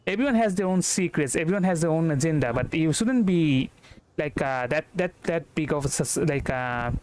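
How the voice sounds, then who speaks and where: A man giving casual gameplay commentary as a streamer, halting and rambling as he repeats words. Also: on the high side, expressive, darkish tone, slight background noise. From India. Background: game music.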